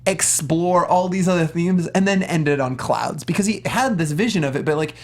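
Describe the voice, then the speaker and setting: A man co-hosting a casual panel discussion, speaking in an animated, emphatic and self-deprecating way. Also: on the high side, moderate pace. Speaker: talk show host.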